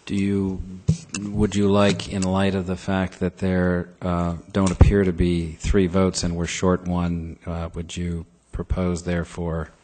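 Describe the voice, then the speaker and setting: A man chairing a city council meeting in a council chamber, speaking in a measured, halting way. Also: deep voice, monotone, darkish tone.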